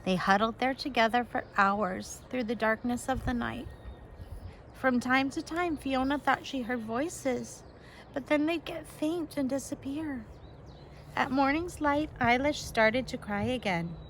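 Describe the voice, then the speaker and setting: A woman reading a storybook aloud like a teacher, expressive and openly emotional, with casual asides to her listeners. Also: sits high, measured pace, a little hiss or hum.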